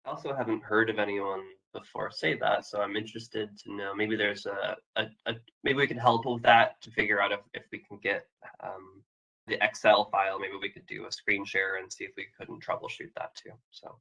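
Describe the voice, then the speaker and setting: A hoarse man moderating questions on a webinar video call, speaking tentatively and hedging. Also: fairly low pitch, flat delivery, normal speed, bright, forceful tone, rough recording.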